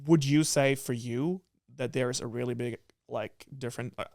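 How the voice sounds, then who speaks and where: A man hosts a relaxed podcast conversation, his smooth voice recorded close and dry on a studio mic. He rambles through his points, stacking "like" and restarting sentences, and laughs easily along the way. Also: mid-range voice, moderate pace, no echo. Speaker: podcast host. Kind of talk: casual podcast chat.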